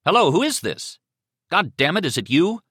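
A man's voice: on the high side, sing-song, normal speed, fairly bright tone, breathy edge, dry recording, clean studio sound, pitched above the performer's own voice, heavily acted. A man voicing an agitated, alarmed man who barks urgent, demanding questions.

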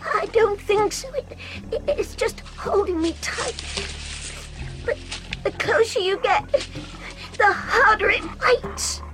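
A woman's voice: very high-pitched, takes it slowly, long pauses, pitched above the performer's own voice, low-quality recording. A woman voicing a frightened young boy who stammers and pleads through tears in a storybook reading. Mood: terrified. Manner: stammering, sobbing pleas.

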